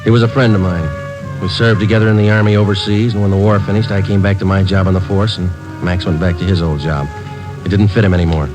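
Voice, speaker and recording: An actor playing a terse male police detective who narrates in a clipped, flat, matter-of-fact way in a 1950s radio drama. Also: deep voice, quick pace, close to an everyday voice, poor audio. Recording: old radio broadcast with music beneath.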